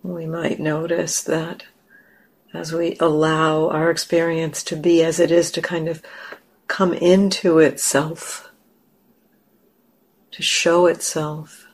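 A woman leading a guided meditation as a teacher, gentle and unhurried, leaving long pauses. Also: deep voice.

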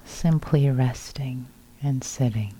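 Very dark, hushed woman guiding meditation. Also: very deep voice, slow, husky, rough recording. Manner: gentle, hushed, sparse short phrases with long silences. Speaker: meditation teacher. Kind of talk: guided meditation.

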